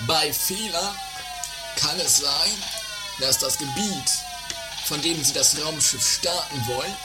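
A woman's voice: sits low, takes it slowly, heavy background noise. A woman playing a muddled, forgetful amateur rocket builder in a comedy radio play, pedantic and theatrical. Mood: pedantic, explaining. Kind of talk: amateur trash radio play, comic drama. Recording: loud music under voices, 1980s radio play.

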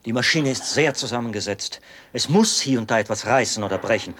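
An actor playing a zealous, pedantic execution officer in a radio drama, explaining with precise, emphatic delivery. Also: male voice, medium pitch, brisk, a bit bright, a little hiss or hum, close to an everyday voice. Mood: aggrieved, defensive. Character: zealous male execution officer, pedantic, devoted to his machine.

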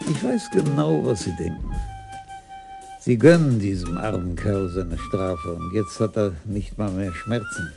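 An actor playing a calm, older police commissioner in a radio crime drama, speaking in a measured, gravelly way. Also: male voice, fairly low pitch, over music, full character voice.

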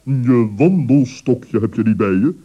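A man's voice: mid-range voice, expressive, measured pace, mellow, highs cut off. A man voicing a stern, fussy old male owl in an old radio play, pompous and pedantic in his corrections. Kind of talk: 1960s radio play acting.

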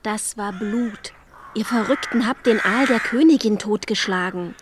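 A woman's voice: medium pitch, measured pace, noisy background, natural voice. An actress in a radio play as an indignant court woman, urgent and accusing. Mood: agitated.

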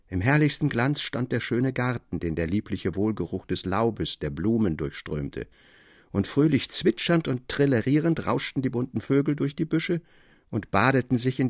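A man narrating a literary tale with articulate, expressive delivery. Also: mid-range voice, no room sound, clean studio sound.

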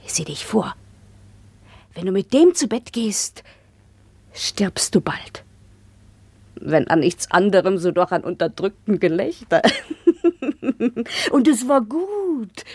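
A woman's voice: medium pitch, very animated, slow, pauses often, a little mellow, husky, no room sound, heavily acted. An actress playing a teasing, bawdy woman in a radio drama, playful and often laughing as she talks. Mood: teasing, amused. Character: giggly, teasing, bawdy woman reminiscing about lovers. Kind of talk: lively radio drama acting.

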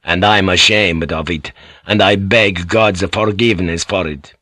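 A man voicing a solemn, bulky priest of firm moral authority, speaking slowly and gravely in an audiobook story. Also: low voice, fairly even intonation, pushed and bright, no echo, studio quality, exaggerated voice. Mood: humble, grave. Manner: slow, grave, deliberate.

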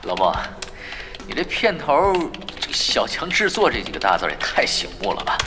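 A laid-back male gamer in an audio drama. His mid-pitched voice is dry and teasing with lively inflection, music behind. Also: fairly quick. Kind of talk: Chinese audio drama acting. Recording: music behind, keyboard typing.

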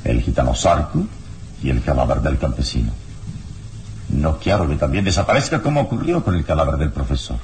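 Very deep male voice, heroic adventurer, calm authority, expressive intonation, measured formal phrasing, radio serial drama. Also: slight background noise, heavily acted. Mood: calm, commanding. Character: calm, commanding heroic adventurer leading an investigation.

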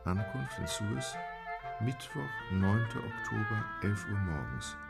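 Formal, matter-of-fact gentleman diarist, radio drama, very low, creaky, prominent music underneath. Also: expressive, unhurried. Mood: precise, dry. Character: precise, dry gentleman reciting his travel log. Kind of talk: German radio drama acting. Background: prominent brass music.

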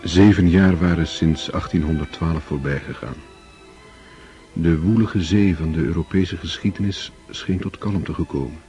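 A man narrating a radio play in a measured, solemn manner. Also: low-pitched, warm tone. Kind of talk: scripted literary narration. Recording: dull lo-fi old radio recording.

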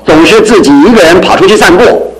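An actor playing a boisterous, carefree young bachelor with a teasing, chuckling, theatrical manner in a radio drama. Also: male voice, high-pitched, a little fast, lightly acted. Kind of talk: Mandarin radio drama acting. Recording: faintly noisy old radio broadcast.

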